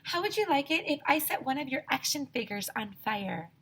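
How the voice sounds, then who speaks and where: A woman's voice: high voice, sing-song, moderate pace, a bit bright, a little hiss or hum, pitched above the performer's own voice, heavily acted. A woman voicing a bossy, prim, scolding know-it-all schoolgirl in a children's storybook.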